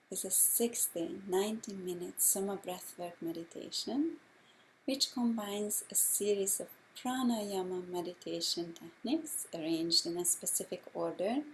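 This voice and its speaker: A middle-aged woman from Hungary with a Hungarian accent, a breathwork instructor telling her story in a gentle, measured way. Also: mid-range voice, a little hiss or hum, rough recording. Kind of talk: slow guided talk.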